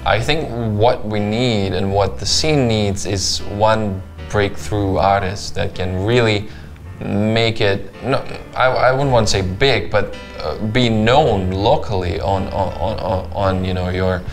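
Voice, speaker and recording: A man's voice: fairly low pitch, takes it slowly, soft background music. A man speaking hesitantly in a documentary interview, repeating words.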